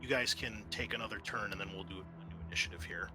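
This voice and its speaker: A man's voice: on the low side, quick pace, over music. A man running a tabletop role-playing game as game master, talking casually and swearing freely.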